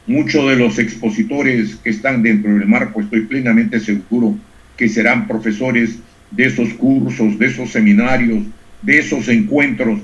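An older man gives a formal closing speech in a deliberate, oratorical manner, in short measured phrases. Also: medium pitch, steady tone. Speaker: education institute director giving a closing speech. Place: indoors on a group video call. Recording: muffled, lo-fi video call audio.

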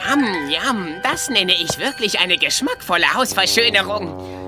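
A woman's voice: medium pitch, lively intonation, a little slow, music in the background, lightly acted. A woman voicing a greedy, naive little talking tomcat, eager, in a children's radio play. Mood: greedy relish.

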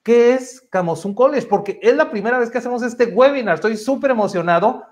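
A man's voice: very high-pitched, expressive, brisk. A man in his thirties hosting a live webinar in a chatty, upbeat, informal way over a headset on a video call. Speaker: host of a study-abroad webinar. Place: indoor room, on a video call. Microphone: wired earphone headset.

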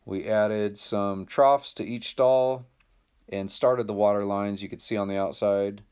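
A man's voice: lowish voice, fairly even intonation, unhurried, darkish tone, smooth. An American man in his thirties talking casually to viewers in a laid-back, plain-spoken way, like a DIY vlogger. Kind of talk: casual vlog narration to viewers.

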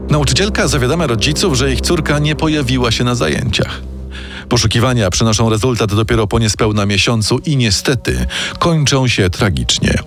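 A male narrator reading a scripted true-crime story. His pitch is medium but swings in a lively way, and music plays clearly behind him. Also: fairly quick.

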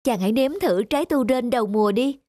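A woman with a Southern Vietnamese accent voicing a gentle, wistful young wife in a cartoon folk tale. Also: on the high side, quick pace, slight background noise, lightly acted. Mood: tender. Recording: music behind the voice.

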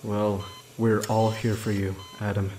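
Man as slow-talking, subdued Marine. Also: lowish voice, level tone, darkish tone, natural voice. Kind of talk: audio drama acting.

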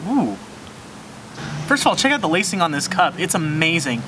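A man's voice: fairly high pitch, very animated, moderate pace, background noise. A young man hosting a casual beer review on an outdoor brewery patio, describing flavors with enthusiasm and stumbling over repeated words. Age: young adult.

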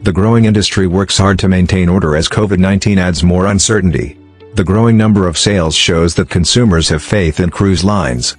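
A man narrates a scripted explainer in a low-pitched voice. His delivery is measured and formal, with music playing softly behind him. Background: background music.

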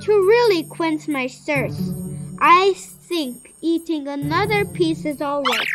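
A whiny cartoon pig, greedy and lazy. The voice is extremely high and childlike, drawn out slowly in exaggerated children's story style, over clearly audible music. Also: animated. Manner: slow, exaggerated, childlike delivery.

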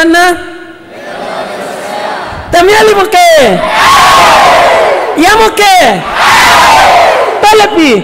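A man in his thirties, a priest giving a lively homily at mass, animated and questioning the congregation, speaking into a headset mic. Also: extremely high pitch, a little hiss or hum. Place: large church hall. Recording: long hall reverb.

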